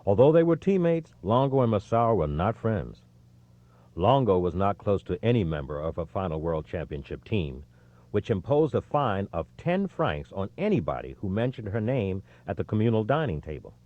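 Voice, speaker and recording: A man reading scripted nonfiction as an audiobook narrator, in a measured, expressive storytelling delivery. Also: fairly low pitch, no room sound, lo-fi sound.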